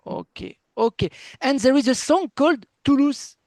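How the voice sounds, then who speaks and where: A man whose first language is French, interviewing in halting, enthusiastic English as he searches for words. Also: very high-pitched, darkish tone, dry recording, clean studio sound. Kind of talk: casual interview chat.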